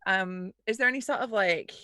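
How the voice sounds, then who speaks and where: A woman's voice: medium pitch, brisk, over a noisy crowd. A woman playing a tabletop roleplaying game, casually describing what her character does.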